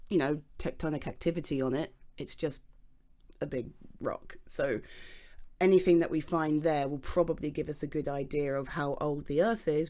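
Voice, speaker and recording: A woman's voice: sits low, warm tone, close to an everyday voice. A British woman playing a flustered, chatty geology nerd in an ASMR roleplay, explaining things in a rambling, hesitant, eager and informal way. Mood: musing, casual.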